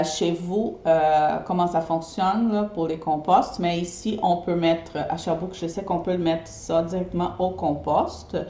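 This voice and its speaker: A woman's voice: fairly low pitch, mellow, echoey room. A woman from Brazil whose first language is Portuguese, speaking French with a mixed Brazilian and Québécois accent. She chats casually to her viewers in a live video, often checking in with them by asking "ok?". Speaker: eco-friendly party decorator.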